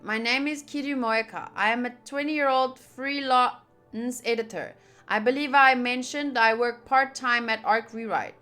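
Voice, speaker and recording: Female playthrough host, bright and fairly high, chatty live commentary mixed with read-aloud text, music underneath. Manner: chatty, addresses viewers, comments between readings. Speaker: streamer playing a visual novel. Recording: game music behind the voice.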